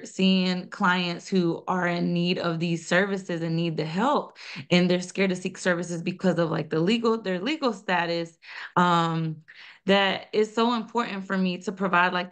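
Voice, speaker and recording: A woman's voice: lowish voice, a bit bright, smooth. A woman, answering interview questions earnestly with frequent restarts. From United States (North Carolina). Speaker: guest, nonprofit founder and social worker.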